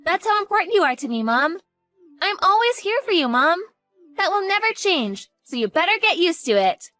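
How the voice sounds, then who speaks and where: A woman's voice: very high-pitched, sing-song, normal speed, bright, forceful tone, no echo, soft background music, full character voice. A woman doing a panicky, devoted young daughter. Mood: reassuring. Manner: earnest, panicky, pleading. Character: devoted, frightened college-age daughter. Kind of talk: dramatized story acting.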